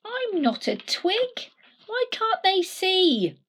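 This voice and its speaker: Lady reading as a tiny stickman, high squeaky sing-song, slow and bouncy. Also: big pitch swings, fairly bright tone, exaggerated voice. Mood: insistent. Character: cheerful male stick figure, small, proud.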